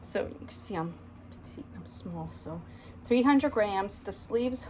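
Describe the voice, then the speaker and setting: A woman's voice: mid-range voice, lively intonation, unhurried, darkish tone, slight background noise. A woman in her thirties, from Ukraine, chatting casually at home about her knitting, rambling with many ums. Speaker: knitting vlogger. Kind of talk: casual chat to camera. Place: home, indoors.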